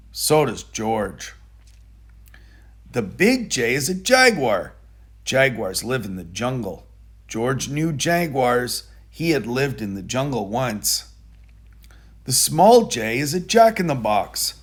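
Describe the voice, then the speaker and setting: Male children's book reader, expressive and animated, unhurried pace, slightly husky tone. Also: medium pitch. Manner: deliberate, expressive storytelling for young children. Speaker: storybook reader. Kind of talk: children's picture book read-aloud.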